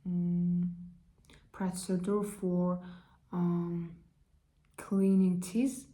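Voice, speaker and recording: A young woman from Kazakhstan with a Kazakh accent, introducing herself in halting, careful learner's English full of fillers. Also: fairly low pitch, little pitch movement, mellow. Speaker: vlogger. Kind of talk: casual self-introduction.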